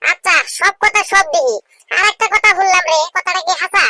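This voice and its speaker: A man voicing an inquisitive, impatient village friend in a comedy cartoon, with a rural Bangladeshi accent and a squeaky pitched-up voice. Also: fairly quick, bright, forceful tone, a little hiss or hum, exaggerated voice. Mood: curious.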